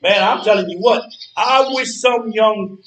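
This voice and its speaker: A man's voice: high-pitched, unhurried, a bit bright. An actor playing a feisty, boastful old man in a stage play, talking in a folksy, defiant way. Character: feisty, boastful old man who refuses to feel helpless. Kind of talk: live stage play dialogue. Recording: roomy stage recording from far off.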